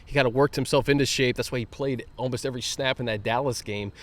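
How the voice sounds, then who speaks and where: A man's voice: mid-range voice, fairly even intonation, fast talker, a bit bright. An American man co-hosting a sports show in a casual, conversational style.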